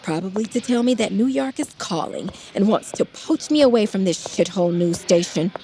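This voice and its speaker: A woman's voice: mid-range voice, animated, moderate pace, mellow, slight background noise, close to an everyday voice. A woman playing a brash, sardonic and ambitious news reporter in an audio drama. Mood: cocky.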